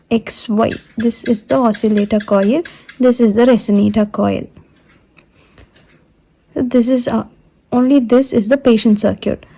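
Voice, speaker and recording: Woman lecturer, fairly high voice but soft-edged and mellow, explaining technical material step by step with deliberate repetition. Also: poor audio. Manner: repeats and restates points deliberately. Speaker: physiotherapy lecturer.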